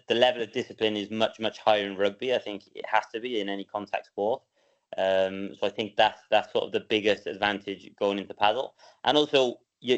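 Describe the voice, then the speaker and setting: Relaxed young man, lowish voice, dull sound. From Wales. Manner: relaxed, hesitant with many ums and repeated words. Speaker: guest, professional padel player. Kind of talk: casual interview answers.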